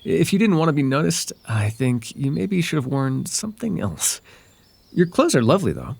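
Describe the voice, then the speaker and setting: A man's voice: fairly high pitch, big pitch swings, moderate pace, vocal fry, a little mellow, slightly rough, heavily acted. Man acting a lilting, courteous fae wanderer. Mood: curious, amused. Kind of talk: ASMR roleplay voice acting.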